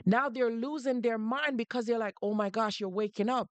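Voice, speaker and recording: A woman's voice: on the high side, brisk, no room sound. A woman with a Jamaican accent hosting a casual livestream and speaking directly to her viewers. She is emphatic, repeats her points, and breaks off to greet people who join. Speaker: livestream host.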